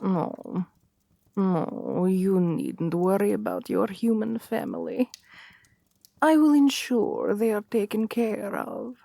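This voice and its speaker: Woman playing a slow, breathy, possessive vampire queen. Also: medium pitch, animated, dry recording, clean studio sound, full character voice. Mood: soothing. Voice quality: soft, breathy, often sinking into whisper. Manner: slow, commanding yet coaxing, formal endearments. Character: assertive, possessive female vampire queen, regal and seductive. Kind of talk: ASMR roleplay, slow soothing bedtime delivery.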